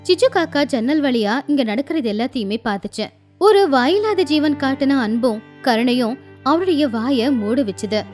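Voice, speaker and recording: A woman storyteller with a high voice reads a scripted children's tale. Music plays behind her narration. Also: animated, normal speed, darkish tone.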